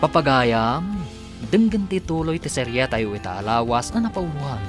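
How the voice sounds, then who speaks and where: A man's voice: medium pitch, sing-song, moderate pace, music in the background. A man narrating and announcing a radio drama in a scripted, dramatic style.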